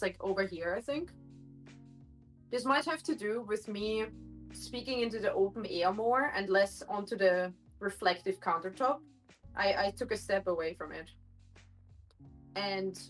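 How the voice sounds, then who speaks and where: A woman streamer talks at a middle pitch in a loose, rambling way, stopping to correct herself and filling gaps constantly. Her voice sounds a bit gruff today. She is recorded on a phone in a kitchen with hard countertops, and faint music plays behind her. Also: fairly bright tone, rough recording. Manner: rambling, many fillers and self-corrections. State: slightly gruff voice. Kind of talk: casual live-stream chat. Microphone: phone microphone.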